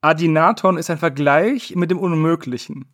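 Ein Mann, der als Quizleiter in einem lockeren Podcast Begriffe vorliest und erklärt. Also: male voice, a little high, normal speed, a bit bright. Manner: reads definitions aloud, explains. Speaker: quiz host. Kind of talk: casual podcast quiz.

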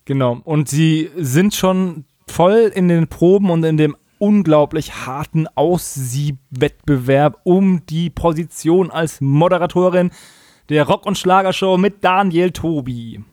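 A man's voice: fairly high pitch, a little slow, a little mellow, no echo, clean studio sound. A man in a casual, humorous podcast chat, co-hosting with colloquial, mock-serious exaggeration. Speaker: podcast co-host. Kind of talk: casual humorous chat recapping an audio drama.

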